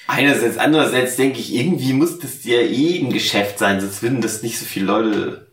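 A man co-hosting a podcast, drunk, rambling casually in a halting, earnest way. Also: mid-range voice, reverberant, recorded from a distance. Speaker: podcast co-host.

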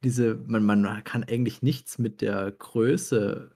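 Husky male, casual storyteller with heavy fry, halting and self-mocking delivery. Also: fairly low pitch, fairly even intonation, mellow. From Germany. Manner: halting, self-deprecating, searching for words. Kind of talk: casual anecdotal chat among friends.

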